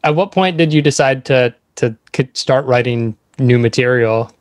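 A man's voice: mid-range voice, moderate pace, no room sound. Dry-sounding man hosting a casual interview. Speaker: podcast host interviewing a band.

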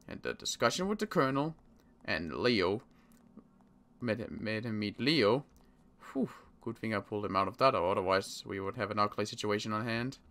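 A man playing a worried zombie apocalypse survivor in an improvised game roleplay, muttering to himself and repeating words haltingly. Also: lowish voice, a bit bright, full character voice.

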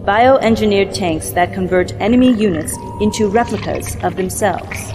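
A woman's voice: medium pitch, normal speed, lightly acted. An actress playing a dutiful, controlled female military mentor in a science fiction game, giving clipped, formal briefings. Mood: cool, stern. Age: young adult. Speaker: actor in game cutscenes. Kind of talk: formal scripted mission briefing. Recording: long hall reverb, music underneath. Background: background music.

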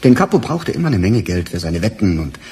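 A man's voice: fairly low pitch, very animated, a little fast, creaky, warm tone. An actor playing a probing, insistent male interrogator in a German radio crime drama. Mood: assured, needling.

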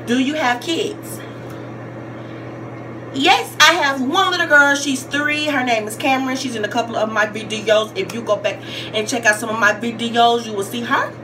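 High-voiced young Southern woman vlogging. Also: normal speed, noisy background. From Georgia, United States. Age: 20s. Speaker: YouTube vlogger. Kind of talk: casual question-and-answer vlog.

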